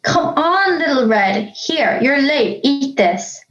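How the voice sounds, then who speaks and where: A woman playing a brisk, impatient, nagging mother in an audio drama. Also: high voice, animated, fairly bright tone, roomy sound, dull audio, exaggerated voice. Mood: nagging, calling.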